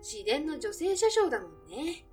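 A woman plays a friendly, gently teasing café barmaid in a radio drama. Her voice is high and animated, with feminine phrasing. It is recorded slightly away from the microphone. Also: moderate pace, recorded from a distance, soft background music. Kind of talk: Japanese radio drama acting.

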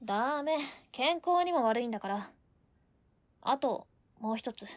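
Woman doing bossy teen landlady girl, radio drama, high pitched, cheeky and scolding, really dry sound. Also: lively intonation, unhurried, dry recording, highs cut off. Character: strict, feisty eighteen-year-old landlady girl, exasperated by lodgers. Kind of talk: Japanese radio drama acting.

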